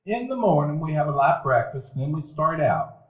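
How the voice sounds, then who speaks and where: Casting: actor as a reminiscing narrator; slightly high male voice, roomy hall. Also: fairly quick, lo-fi sound. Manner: calm, reminiscent storytelling. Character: grown Buddy recalling his boyhood. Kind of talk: radio play narration. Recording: roomy live stage recording.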